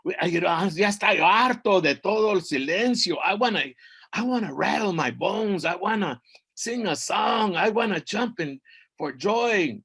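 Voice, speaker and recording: A man's voice: high-pitched, lively intonation, normal speed, a bit bright, slightly rough, voice pushed up, heavily acted. A cheerful male lord of the dead, put on by a storyteller speaks in a raised, giddy voice. He is chummy and exuberant, itching to break the silence.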